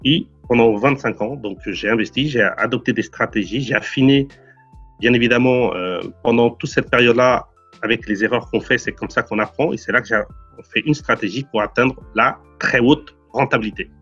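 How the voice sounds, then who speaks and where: A man's voice: medium pitch, normal speed, fairly bright tone, slightly rough, muffled recording, soft background music. A man in his forties from Portugal, a real estate investor, answering interview questions earnestly with frequent fillers and repeated words.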